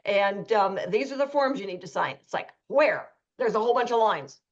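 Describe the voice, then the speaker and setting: Chatty woman radio host, mid-pitched, on a slightly muffled line. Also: animated, moderate pace. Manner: chatty, anecdotal, acts out quoted lines. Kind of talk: radio talk show chat and ad reads.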